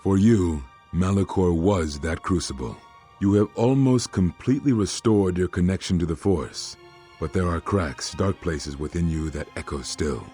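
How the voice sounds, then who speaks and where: An actor playing a brooding, grave Jedi master in a video game, with a weighty, ominous delivery. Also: male voice, deep voice, warm tone, husky, soft background music. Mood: grim. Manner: slow-burning, ominous, weighty. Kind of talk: dramatic video game dialogue.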